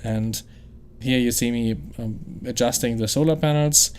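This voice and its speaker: A man's voice: mid-range voice, moderate pace, a little mellow, clear, resonant tone. German-accented man narrating gameplay. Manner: explanatory, chatty, thinks aloud with fillers and restarts. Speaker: gaming channel host. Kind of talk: game playthrough commentary.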